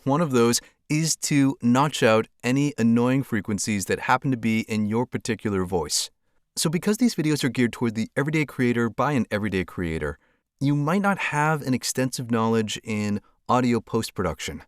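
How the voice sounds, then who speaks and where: A man with a deeper voice explains things calmly and clearly to camera, like a how-to lesson for creators. His voice is mid-pitched and sounds dry and close, with no room around it. He talks through a clip-on lapel mic. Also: normal speed, no room sound. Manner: calm, clear explanatory delivery. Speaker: content creator giving tips. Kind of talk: instructional talk to camera. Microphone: clip-on lavalier mic.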